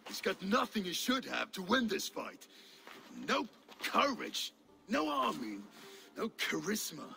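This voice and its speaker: An actor playing a blunt, scornful, sardonic warrior adviser in a video game cutscene. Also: male voice, fairly high pitch, vocal fry, sharp tone, heavily acted. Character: gruff, scornful male Danish warrior ally, blunt adviser.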